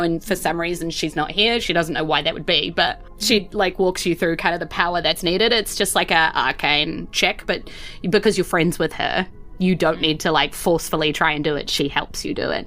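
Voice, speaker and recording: A woman's voice: fairly low pitch, brisk, fairly bright tone. A woman with a New Zealand accent narrating a tabletop game as game master in a loose, conversational way. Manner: loose, conversational, hedging with "like" and "sort of".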